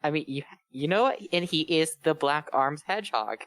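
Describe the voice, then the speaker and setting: A man's voice: fairly high pitch, expressive, normal speed, a bit bright, dry recording. A man chatting and joking casually as one of a group reading fan fiction aloud. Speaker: reader on a bad fanfiction show.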